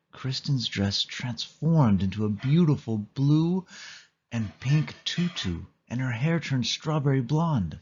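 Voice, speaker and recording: A man with a low, raspy voice narrates a children's storybook in a scripted read-along style. The recording is slightly dull and lo-fi.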